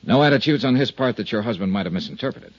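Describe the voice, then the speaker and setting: An American actor playing a dogged, matter-of-fact insurance investigator in a 1950s radio detective drama, with a clipped, probing delivery. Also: male voice, lowish voice, lively intonation, quick pace, dry recording. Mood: probing, firm. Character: hard-boiled, dogged male insurance investigator and narrator. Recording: dull vintage 1950s radio recording.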